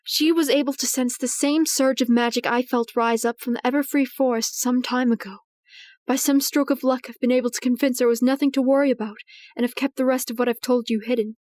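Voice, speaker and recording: A woman voicing a regal, protective pony princess in an audio drama, speaking formally and earnestly with measured, anxious gravity. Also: sits high, fairly even intonation, a little mellow, no echo, close to an everyday voice. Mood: earnest, troubled. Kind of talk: dramatized audio fiction reading.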